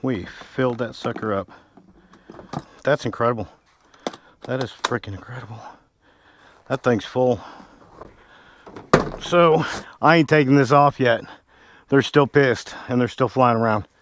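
A man's voice: medium pitch, a little slow, long pauses. A middle-aged man giving casual, unpolished running commentary as he works outdoors. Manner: folksy, unpolished, talking to himself while working. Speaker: wasp removal vlogger. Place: open barn and yard outdoors. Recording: loud engine-like vacuum and outdoor noise.

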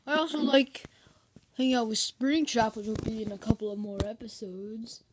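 A woman's voice: a little high, steady tone, normal speed, a little hiss or hum, lightly acted, low-quality recording. A woman voicing a chatty, boastful animatronic bear plush, with a swooping, self-satisfied way of talking. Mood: casual. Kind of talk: improvised plush-toy interview.

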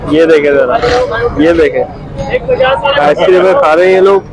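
A hoarse young man from Karachi vlogging casually at a night market, joking as he complains. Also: fairly high pitch, a little slow, poor audio. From Karachi, Pakistan. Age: young adult. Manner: jokey, playful complaining. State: hoarse throat. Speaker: vlogger, son. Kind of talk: casual street vlog. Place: crowded outdoor night market. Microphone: phone selfie at arm's length. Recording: busy crowd chatter behind.

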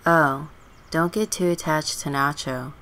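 Teenage runaway girl, played by a woman: low-pitched, dry, stubborn, sardonic, life-simulation game story. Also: steady tone, measured pace, a bit bright. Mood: dry, offhand.

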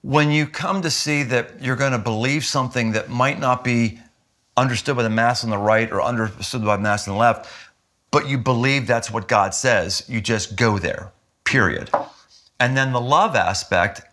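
A man in his fifties, a senior pastor, talking earnestly and emphatically in a seated interview in a living room. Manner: earnest, emphatic, with lively jumps in pitch when stressing points. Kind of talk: sit-down interview conversation. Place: living room with window, indoors.

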